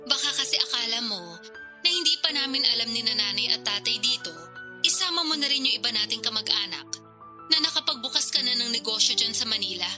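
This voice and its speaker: A woman's voice: a little high, animated, fast, music in the background, natural voice. An actress playing a pushy, sarcastic older sister from the province in a radio drama, needling her brother for money. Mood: sarcastic, teasing.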